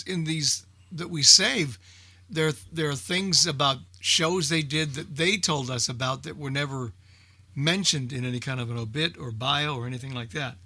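A man's voice: a little high, sharp tone, no echo. A man chatting casually in a group as a radio show host, talkative and folksy in his exclamations.

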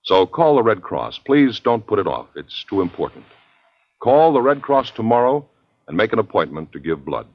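A man's voice: lowish voice, very animated, moderate pace, slight background noise. A man reading an earnest, scripted 1950s radio announcement, on a dull old broadcast recording.

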